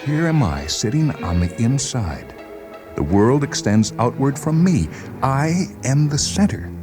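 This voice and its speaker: A man playing an agitated, paranoid patient in a dramatized story, insistent, halting and repeating himself. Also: medium pitch, sing-song, music in the background. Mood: agitated, accusing. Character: agitated paranoid male patient convinced the world conspires against him. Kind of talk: dramatized short story reading.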